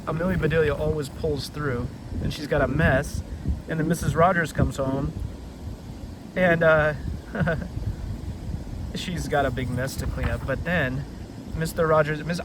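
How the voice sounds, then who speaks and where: Guy kinda high voice rambling casually outside like a storytime host, lots of background noise. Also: normal speed. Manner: rambling, easily sidetracked. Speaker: read-aloud storytelling host. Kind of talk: casual chat summarizing a children's book. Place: outdoors beside a river. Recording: noisy outdoor recording.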